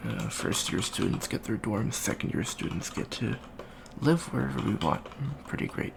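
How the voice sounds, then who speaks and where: A man's voice: on the low side, lively intonation, moderate pace, gravelly. A man playing a shy, friendly young avian alien student in an ASMR roleplay, hesitant, stammering and rambling. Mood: shy, eager. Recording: faint background noise.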